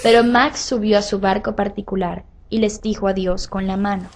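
A woman narrating a scripted children's story with dramatic pauses and drawn-out phrases. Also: mid-range voice, steady tone, darkish tone. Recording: faint background noise.

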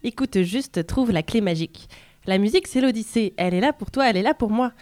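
A woman's voice: on the high side, lively intonation, brisk, darkish tone, no room sound, studio quality. A woman hosting a casual radio music quiz in an informal, playful and self-deprecating way. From France. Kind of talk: casual chat, reading lyrics aloud. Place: radio studio.

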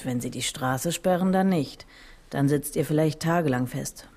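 A woman's voice: low voice, moderate pace, darkish tone. An actress playing a down-to-earth, matter-of-fact local woman in a crime radio drama. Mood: matter-of-fact, cautioning. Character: down-to-earth diner woman, matter-of-fact mother warning travellers.

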